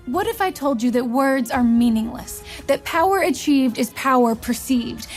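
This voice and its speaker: High-voiced, solemn fantasy visionary. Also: female voice. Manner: measured, portentous, rhetorical. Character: solemn, commanding female visionary mentor recruiting chosen leaders. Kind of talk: scripted fantasy game voice lines.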